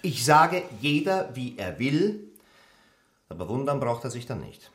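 Vain, swooping theatrical stage star. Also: male voice, mid-range voice, sing-song, fairly bright tone, echoey room. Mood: smug. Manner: declamatory, drawn-out grand delivery. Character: vain, theatrical male stage actor, self-satisfied. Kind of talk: German radio drama acting.

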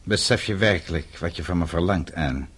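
An actor in a radio play, playing a principled, wounded elderly father who speaks gravely and haltingly. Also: low voice, fairly bright tone. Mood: hurt, reproachful. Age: older. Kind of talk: radio drama acting. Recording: radio play with faint background noise.

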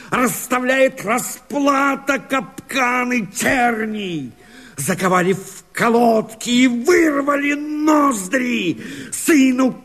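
An actor playing a desperate, bitter escaped convict and rebel, declaiming verse in a hoarse, strained, theatrical way for a radio drama. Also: male voice, extremely high pitch, a bit bright, heavily acted. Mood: bitter, agitated. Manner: impassioned, theatrical, drawn-out declamation with sudden outcries.

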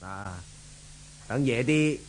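A man's voice: medium pitch, takes it slowly, close to an everyday voice. An actor playing a brash, swaggering henchman with a Hong Kong Cantonese accent in a 1970s radio drama. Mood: cocky. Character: cocky criminal henchman, brash and casual, serving his boss.

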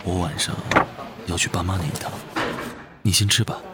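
An actor playing a calm, tender older boyfriend in a Chinese audio drama, soft-spoken, gentle and restrained. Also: male voice, sits low, moderate pace, heavy background noise, close to an everyday voice.

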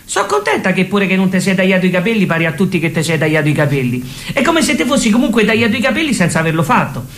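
Guy putting on a naggy roman girlfriend, talks super fast, echoey live comedy stage. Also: high voice, very animated, fairly bright tone, full character voice. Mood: insistent. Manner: insistent, accusing, repetitive. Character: nagging, stubborn, self-absorbed girlfriend. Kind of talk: live comic stage sketch.

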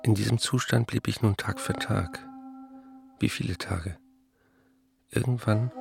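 A man's voice: fairly low pitch, vocal fry. A man reading the letters and memoirs of a weary, despairing middle-aged writer in a subdued, resigned manner. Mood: hopeless. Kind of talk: broadcast documentary reading.

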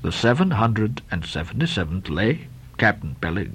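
A man voicing a stingy, solemn old Quaker captain with a slow, mumbling, sepulchral delivery and archaic pious speech. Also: on the low side, big pitch swings, fairly bright tone, slightly rough, voice pushed down, exaggerated voice. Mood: solemn, reproving.

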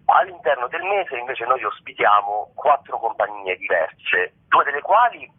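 A man's voice: mid-range voice, big pitch swings, moderate pace, husky. A man being interviewed by phone, explaining things spontaneously and hesitantly, often searching for his words. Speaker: theatre director, interview guest. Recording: narrow, muffled phone line.

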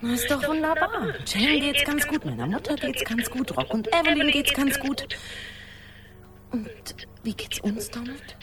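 A woman's voice: high-pitched, animated, measured pace, loud music behind the voice. An actress in a radio drama playing a tender, hesitant woman in a long-distance romance, intimate and questioning.

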